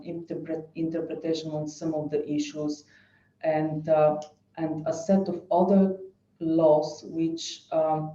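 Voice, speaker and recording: A speaker from Bulgaria in their forties with a Bulgarian accent, giving a webinar presentation as an advisor and often pausing to search for words. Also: medium pitch, mellow. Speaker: foreign investment advisor. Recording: dull echoey room recording.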